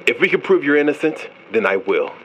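Man playing a stern interrogator with swinging pitch. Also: big pitch swings, fairly quick, heavy background noise. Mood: firm, probing. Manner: firm, commanding, skeptical. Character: stern, authoritative male ship's investigator running an interrogation. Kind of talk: audio drama acting.

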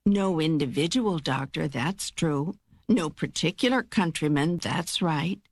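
God for an audiobook, a wise and reassuring spirit with a low voice. The delivery is assured and measured, with a teasing wit, recorded dry and close. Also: female voice, animated, no echo, full character voice. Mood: firm, insistent. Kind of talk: dramatised audiobook dialogue.

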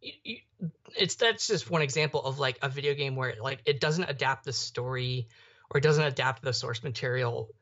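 A man's voice: mid-range voice, moderate pace, a bit bright. A young man co-hosting a casual podcast, rambling and often restarting his thoughts midway. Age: young adult. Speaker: podcast co-host. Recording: slightly dull, muffled audio.

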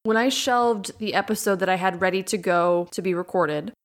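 A young adult woman hosting a solo podcast, with a raspy voice and vocal fry worn from recording many times, talking candidly and repeating words for emphasis. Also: medium pitch, normal speed. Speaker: podcast host. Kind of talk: casual solo podcast talk. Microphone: USB condenser microphone.

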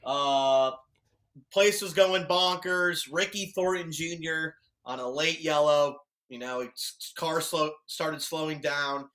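An American man with a raspy voice, hosting a sports podcast and recapping action in a casual, chatty way. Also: a little high, slow, a bit bright. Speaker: podcast host.

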